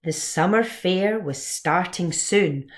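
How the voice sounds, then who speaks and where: A woman's voice: lowish voice, takes it slowly. A woman reading a children's story and explaining it expressively in simple terms, like a teacher. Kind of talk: children's story read-along and lesson.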